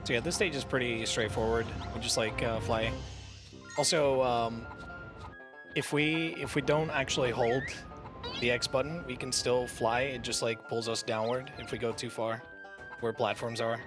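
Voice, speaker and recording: A man's voice: mid-range voice, fairly even intonation, normal speed. A man giving casual, conversational live commentary on a speedrun at a gaming marathon. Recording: game music behind the voice.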